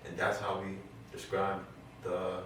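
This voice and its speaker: A man's voice: low voice, monotone, unhurried, background noise. A man speaking earnestly in a casual group chat, often restarting sentences as he explains. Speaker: guest. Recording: echoey room, mic far off.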